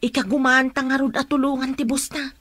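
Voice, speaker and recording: An actress playing a caring, encouraging older-sister coworker in a radio drama, with a Filipino accent. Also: female voice, sits high, level tone, brisk, fairly bright tone, dry recording.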